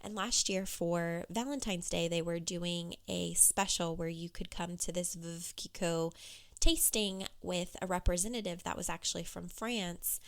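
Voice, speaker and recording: A woman business coach talking candidly on her solo podcast, with a hoarse, froggy voice. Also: lowish voice, fairly even intonation, a little slow. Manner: candid, conversational, with fillers.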